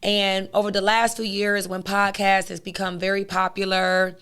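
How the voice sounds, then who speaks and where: Hoarse woman hosting a chatty podcast. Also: medium pitch, level tone, moderate pace, fairly bright tone. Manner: chatty, outspoken, addresses listeners directly. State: hoarse, raspy voice. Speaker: podcast host. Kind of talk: casual podcast monologue.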